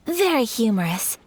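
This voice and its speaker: A woman's voice: on the high side, breathy edge, exaggerated voice. An actress playing a poised young noblewoman in an anime game, formal, composed and politely firm. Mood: coolly confident. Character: poised young noblewoman, eldest daughter of a powerful clan.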